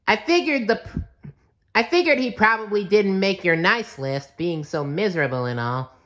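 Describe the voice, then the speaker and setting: A man voicing an excitable, sweet, childlike cartoon sea sponge with an eager, earnest manner. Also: sits high, moderate pace, muffled recording, pitched above the performer's own voice. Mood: earnest, caring. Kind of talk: cartoon storybook character voice.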